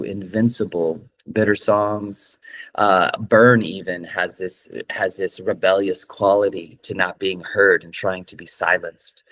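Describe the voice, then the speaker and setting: A man's voice: fairly low pitch, a bit bright, rough recording. A man from California, a singer-songwriter answering interview questions in an earnest way, with many fillers and restarts.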